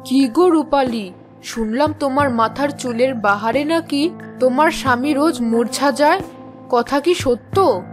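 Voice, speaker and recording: A woman's voice: high-pitched, a little slow. A woman voicing a teasing, gossipy village neighbour in a children's fairy-tale cartoon. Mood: taunting. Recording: music behind the voice.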